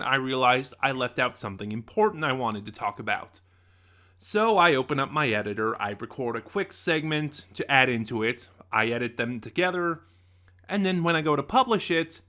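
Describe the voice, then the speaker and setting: Man, bright tense voice, chatty review host, unscripted, animated asides. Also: mid-range voice. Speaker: podcast reviewer.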